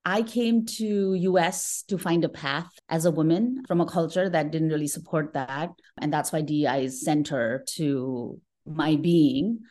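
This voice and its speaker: Woman, middle-aged, from Nepal, low voice, reflective interview guest, conversational delivery. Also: dark tone. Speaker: podcast guest, tech professional.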